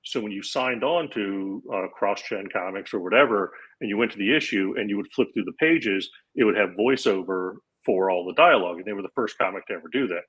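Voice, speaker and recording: A man in his forties, a comedian guest on a podcast, telling a long anecdote in a casual, animated way and acting out the lines of the people in it. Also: fairly low pitch, a little fast, hardly any pauses, husky, low-quality recording. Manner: animated anecdote-teller, acts out quoted lines. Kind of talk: casual storytelling.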